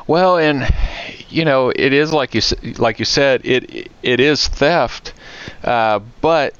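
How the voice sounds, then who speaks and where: A man's voice: medium pitch, takes it slowly, fairly bright tone, smooth, no room sound, muffled recording. An American man chatting casually on a podcast as a co-host, telling anecdotes in a conversational way. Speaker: podcast co-host, IT security professional.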